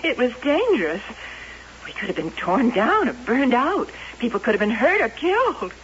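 Jealous, overwrought woman, high-voiced. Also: big pitch swings. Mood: agitated, indignant. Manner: agitated, rapid-fire, melodramatic. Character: jealous, overwrought carnival woman, pleading and bitter. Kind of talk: old-time radio drama acting. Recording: hissy, muffled old radio recording.

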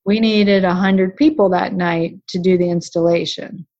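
A woman's voice: fairly low pitch, steady tone, moderate pace, a little mellow. Middle-aged woman, chatty, gives lots of examples, kinda muffled audio. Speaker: guest, co-founder parent of a charity. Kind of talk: casual interview talk. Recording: slightly dull lo-fi recording.

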